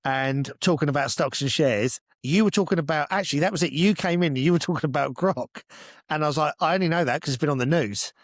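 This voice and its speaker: British man, slightly high voice, close dry sound, sweary, opinionated, casual podcast explainer. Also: fast, no echo. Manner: opinionated explainer, sweary, asks and answers own questions. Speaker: podcast co-host. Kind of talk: casual chat.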